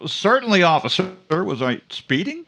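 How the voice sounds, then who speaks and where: A man plays the helpful announcer pal in an old-time radio comedy. He has a slightly high voice and a polite straight-man delivery. The recording carries faint noise. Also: very animated, moderate pace, sharp tone, exaggerated voice. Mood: obliging. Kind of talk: 1940s-style radio comedy acting.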